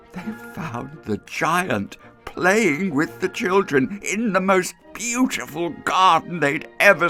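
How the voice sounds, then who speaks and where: A man giving an expressive dramatic reading of a classic tale, lingering over words and dropping to hushed asides. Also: fairly high pitch. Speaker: storyteller. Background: soft music underscore.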